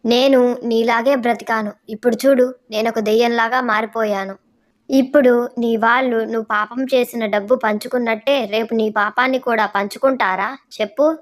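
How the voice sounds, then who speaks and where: Cartoon demon spirit, female actor: very high voice, scolding, moralising. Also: steady tone, moderate pace, close to an everyday voice. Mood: reproachful. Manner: scolding, preachy. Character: reproachful ghost demon who moralises about sin.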